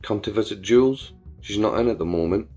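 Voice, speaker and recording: A man voicing an odd, calm henchman who speaks low and matter-of-fact. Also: a little fast, lightly acted. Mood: flat. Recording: dull lo-fi with faint music.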